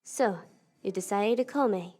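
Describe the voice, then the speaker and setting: A woman's voice: mid-range voice, a little slow, a little mellow, lightly acted. A woman playing a blunt, impatient and sarcastic Time Lord field agent in a sci-fi audio drama. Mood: wry.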